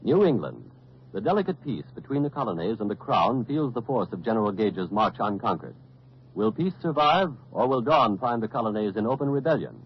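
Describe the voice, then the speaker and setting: A man's voice: on the low side, big pitch swings, fairly quick. A man announcing scripted narration in a dramatic, portentous 1940s radio style. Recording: dull old 1940s radio recording, faint noise.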